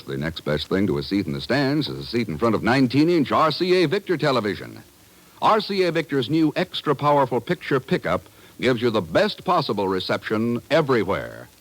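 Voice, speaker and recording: A man's voice: medium pitch, poor audio. A man working as a 1950s radio announcer, reading a script in a polished, emphatic way.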